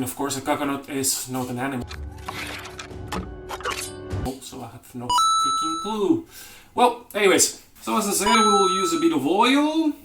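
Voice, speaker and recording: Man, casual joking cooking host, rambly and self-correcting, echoey home kitchen. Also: fairly high pitch. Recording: roomy kitchen with faint noise.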